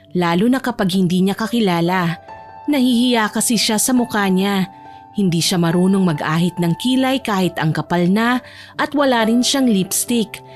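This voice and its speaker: High-voiced woman narrating a romance story.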